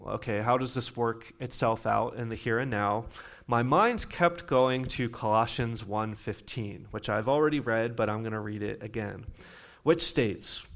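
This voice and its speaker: A young man in his late twenties or thirties preaching a sermon to his congregation, talking conversationally and slowing down to read scripture. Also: medium pitch, fairly bright tone. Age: young adult. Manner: conversational preaching with bursts of emphasis, reading scripture slowly. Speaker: pastor.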